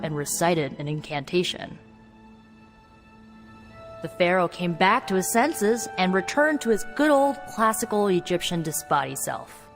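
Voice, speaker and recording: A woman playing an eager, stubborn young sorceress in a video game, talking in a casual, colloquial way. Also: fairly low pitch. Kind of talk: video game cutscene acting. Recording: long reverb, music behind. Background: music.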